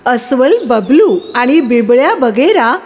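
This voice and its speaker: A woman narrating a scripted children's story in an expressive storytelling style. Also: fairly high pitch, slow, husky. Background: music.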